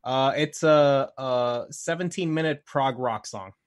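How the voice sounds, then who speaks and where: A man's voice: mid-range voice, measured pace, no room sound. A man co-hosting a podcast, chatting casually in geeky banter. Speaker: podcast co-host.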